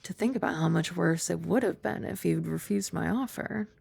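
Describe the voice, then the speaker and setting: A woman's voice: on the low side, moderate pace, vocal fry, husky, dry recording, heavily acted. A woman playing a composed, teasing young maid. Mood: calm. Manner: formal, polite speech with gentle teasing; soft-spoken, dropping to whispers at times. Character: composed, dryly teasing young female maid and childhood friend. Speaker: ASMR roleplay performer. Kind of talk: ASMR roleplay.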